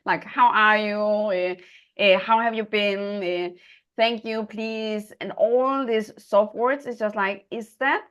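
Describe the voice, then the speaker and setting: A woman from Denmark with a Danish accent, hosting a personal, reflective conversation in non-native English, haltingly searching for her words. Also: mid-range voice, fairly even intonation, fairly bright tone, smooth. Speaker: interview host.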